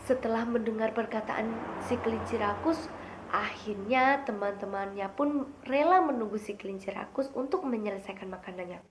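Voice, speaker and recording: High-voiced young woman storyteller. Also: moderate pace, noisy background. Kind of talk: expressive children's storytelling.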